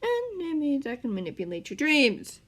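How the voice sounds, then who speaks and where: A gruff, short-tempered captor man who snaps and growls at full volume, as a woman reads him. His pitch jumps around with his temper in this storybook reading. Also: wildly swooping pitch, moderate pace, exaggerated voice. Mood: menacing. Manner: snapping, growling and loud.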